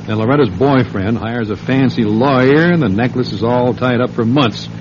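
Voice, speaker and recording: A man's voice: mid-range voice, moderate pace, natural voice. A man playing an earnest, anxious American client who has hired a thief, hesitant and easily flustered, in an old-time radio crime drama. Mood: earnest, businesslike. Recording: muffled, noisy old radio broadcast.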